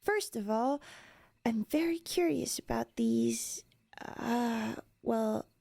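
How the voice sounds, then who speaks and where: A woman playing a curious, playful, scholarly young elf in an ASMR roleplay, with a soft breathy voice and a coaxing, teasing manner. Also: fairly high pitch, slow pace, heavily acted.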